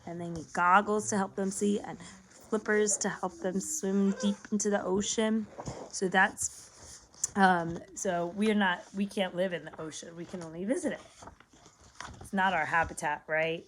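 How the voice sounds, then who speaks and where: A woman's voice: mid-range voice, fairly even intonation, unhurried, a bit bright. A woman reads aloud to young children in a teaching style. She breaks things down simply, checks in with questions and repeats key words. The recording has a light layer of noise and small clicks. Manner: simple explanations with questions and repetition, addressing children. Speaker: teacher and parent reading a picture book aloud.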